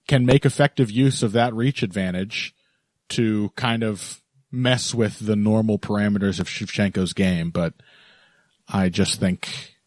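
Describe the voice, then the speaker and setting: A man's voice: fairly low pitch, a little mellow, no echo. A male podcast co-host chatting casually and analytically about fights, teasing his co-host and laughing easily.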